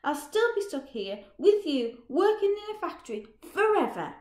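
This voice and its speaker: A woman's voice: a little high, animated, normal speed, lightly acted. Woman voicing an enraged young factory worker. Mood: furious. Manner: shouting, bitter and threatening. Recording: roomy echo.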